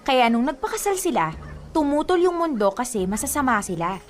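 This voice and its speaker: An actress playing a guileless, playful young woman in a radio drama, chirpy and expressive. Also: high voice, a little fast, slight background noise. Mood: playful, sweet. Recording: faint music behind.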